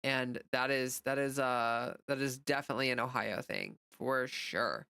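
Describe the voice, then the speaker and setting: American woman, livestream host, casual rambling chat, bright clear voice, close dry sound. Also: extremely low pitch, lively intonation, normal speed, no room sound. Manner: rambling, informal, frequently reading out and answering comments.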